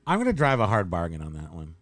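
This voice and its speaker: A man's voice: low voice, huge pitch swings, fast, a bit bright. A man co-hosting a livestream, chatting casually in a goofy, teasing, playful way. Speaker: co-host of a livestream. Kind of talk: casual joking livestream chat.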